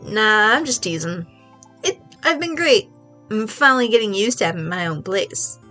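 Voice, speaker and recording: A woman's voice: mid-range voice, animated, moderate pace, sharp tone, faint music behind. A woman with a stuffy voice voicing a perky, teasing young woman, a kid sister bantering on the phone in a video game read-along. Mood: playful.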